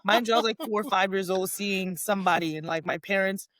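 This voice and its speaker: A woman's voice: medium pitch, quick pace, dry recording. Twenty-something woman from haiti, raised in south florida, guest on a podcast, chatty, says like a lot, dry mic. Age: 20s. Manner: chatty, animated storyteller, many "like" fillers. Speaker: guest, professional wrestler. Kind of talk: casual interview chat.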